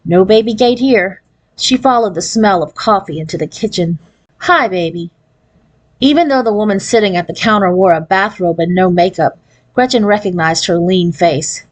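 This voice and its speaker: A woman's voice: mid-range voice, brisk. Woman reading a children's novel aloud. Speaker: storybook reader. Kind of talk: expressive read-aloud narration of a children's novel.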